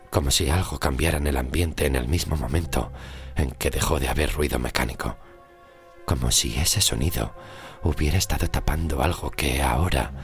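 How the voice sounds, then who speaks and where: A man from narrates a scripted horror story with suspense, in short weighted phrases that often sink into a breathy hush. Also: a bass voice. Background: music.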